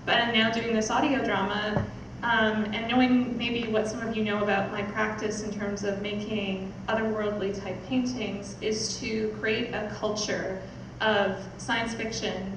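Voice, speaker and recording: Young woman chatting to a crowd from stage, hesitant n casual, really echoey, noisy, far from mic. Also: mid-range voice. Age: young adult. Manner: casual, self-deprecating, hesitant. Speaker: podcast producer hosting launch event. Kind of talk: informal speech to an audience. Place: small theatre stage, indoors. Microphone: stand mic, picked up by distant camera.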